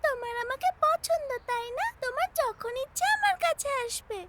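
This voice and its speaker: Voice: very high voice, animated, moderate pace. An actor voicing a cheerful, caring little girl in a children's cartoon, speaking in simple, eager, childlike phrases. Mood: happy, affectionate. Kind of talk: animated children's cartoon dialogue. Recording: music behind the voice. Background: prominent music.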